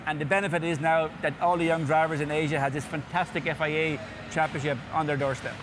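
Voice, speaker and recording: A man's voice: a little high, flat delivery, quick pace, music in the background. A man in his fifties, a racing series organizer, explaining enthusiastically in a sit-down interview, often listing things and repeating words. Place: indoor interview set before a sponsor backdrop. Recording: clear background noise.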